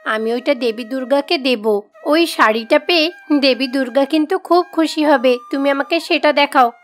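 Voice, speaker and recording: Casting: actress as arrogant wealthy village woman, cartoon fairy tale, high voice, imperious and showy. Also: normal speed, lightly acted. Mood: demanding. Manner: haughty, demanding. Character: rich, arrogant village woman, boastful and scornful.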